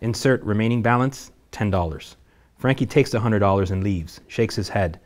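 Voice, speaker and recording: Narrator for a table read: a low-voiced man, steady and matter-of-fact. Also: moderate pace. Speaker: narrator reading stage directions.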